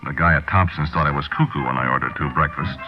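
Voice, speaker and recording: An actor playing a hard-boiled American small-time crook, narrating colloquially and confidingly in a 1940s radio drama. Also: male voice, very deep voice, fast, over music. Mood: wry, amazed. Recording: dull 1940s radio broadcast recording.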